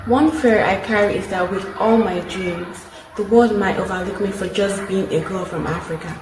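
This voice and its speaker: A woman's voice: medium pitch, normal speed. A young woman from Nigeria gives an earnest personal statement. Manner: earnest recitation. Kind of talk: short recorded personal statement. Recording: echoey room with background noise.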